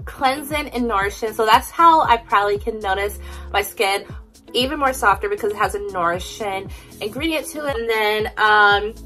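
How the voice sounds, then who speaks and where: A woman's voice: a little high, pushed and bright, poor audio. A young woman in her twenties talking casually through a shopping haul at home, upbeat and chatty with plenty of ums and likes. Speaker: vlogger. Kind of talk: casual product haul chat. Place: indoors in a home room.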